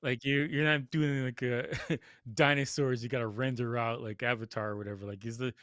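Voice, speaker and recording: A man's voice: mid-range voice, fairly even intonation, fairly quick, fairly bright tone, no room sound, studio quality. A man co-hosting a podcast, giving informal, loosely phrased opinions in a casual movie review chat. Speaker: podcast co-host.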